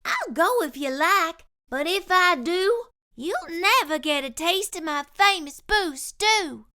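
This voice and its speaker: A woman's voice: very high-pitched, animated, takes it slowly, sharp tone, voice pushed up, heavily acted. A woman voicing a bold, unflappable backwoods cook who speaks in plain, folksy country talk. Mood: unruffled.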